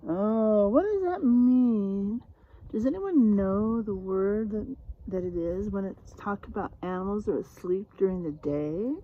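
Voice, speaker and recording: Unhurried, animated woman reading to children. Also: mid-range voice, narrow, tinny audio. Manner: teacherly, prompting listeners with questions. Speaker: read-aloud storyteller for children. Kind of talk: expressive picture-book read-aloud with questions to children.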